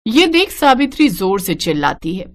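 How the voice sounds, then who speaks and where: A woman narrating a scripted cartoon family story in an expressive storytelling manner. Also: mid-range voice, normal speed.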